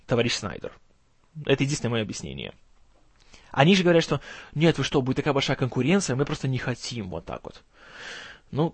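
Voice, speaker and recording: A man hosting a film news show in casual commentary, his voice strained and kept low in volume, with a gloomy, wry, sarcastic manner and some stumbling over words. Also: no echo. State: strained, tired voice, can't speak loud.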